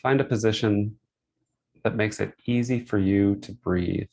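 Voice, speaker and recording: A man with a fairly low voice and a clearly gravelly, creaky edge leads a guided meditation. He gives soothing instructions in gentle, short phrases. Pauses separate them, so nothing sounds hurried.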